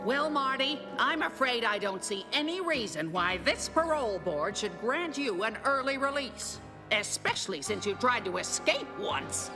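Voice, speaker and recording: A woman's voice: fairly high pitch, animated, moderate pace, natural voice. A woman playing a stern, dismissive parole board official in a cartoon. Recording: music behind.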